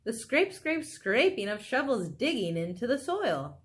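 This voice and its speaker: Expressive, unhurried woman reading a story. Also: a little high. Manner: animated, dramatic, with exclaimed asides to listeners. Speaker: storybook reader. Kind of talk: expressive children's storybook narration.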